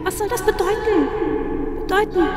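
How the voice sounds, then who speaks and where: A woman as a very high-voiced, bewildered girl. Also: normal speed. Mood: confused. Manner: pleading, bewildered. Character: frightened, confused young girl dreaming. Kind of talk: fan audio drama acting. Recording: long hall reverb, loud music behind.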